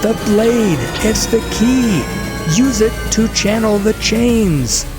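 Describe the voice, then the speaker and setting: A man voicing an urgent, excitable male spellcaster in a fantasy audio drama, his voice strained. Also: high voice, slow pace, voice pushed up. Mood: urgent shouting. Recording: loud dramatic music behind.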